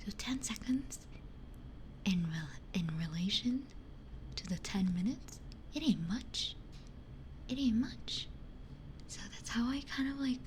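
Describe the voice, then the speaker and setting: A woman whispering softly and unhurriedly in casual ASMR chat, musing in short phrases close to a binaural microphone. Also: fairly high pitch. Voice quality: breathy whisper. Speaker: ASMR livestreamer. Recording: close-miked with faint background noise.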